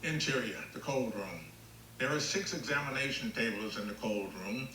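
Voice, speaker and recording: A man's voice: medium pitch, lively intonation, normal speed, bright, forceful tone, roomy sound, a little hiss or hum, low-quality recording. A man reading stage directions as scripted screenplay narration at a table read.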